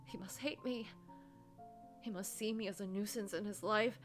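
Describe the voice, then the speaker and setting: A woman's voice: medium pitch, fairly even intonation, moderate pace, faint music behind, natural voice. Woman voicing an anxious, wounded, self-loathing office worker. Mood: anxious self-blame. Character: anxious, guilt-ridden, self-loathing office worker narrating own heartbreak.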